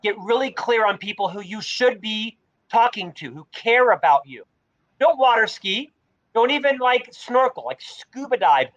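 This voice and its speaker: High-voiced middle-aged man who stutters. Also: moderate pace, dull audio. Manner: energetic storytelling with a mild stutter. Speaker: podcast guest, leadership author. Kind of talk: conversational interview.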